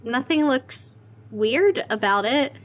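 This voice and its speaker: A woman's voice: high-pitched, lively intonation, slow pace, slightly rough, heavily acted. An actress playing a young woman in a horror audio drama, a game but uneasy best friend with a casual, wry way of talking. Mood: nonchalant.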